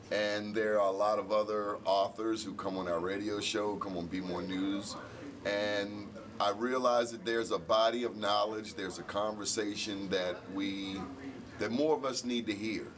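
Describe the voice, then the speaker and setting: A man's voice: lowish voice, noisy background. A middle-aged man, an event organizer and radio host, speaking reflectively in an on-camera interview in an indoor hall. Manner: reflective, measured, gestures with hands.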